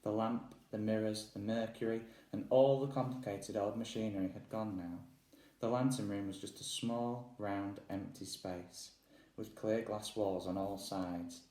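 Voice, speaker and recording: A man narrates a children's book in a low register. His tone is soft and dark rather than bright, and he sits back from the microphone in an echoing room. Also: fairly even intonation, unhurried. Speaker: audiobook reader. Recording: roomy echo, distant microphone.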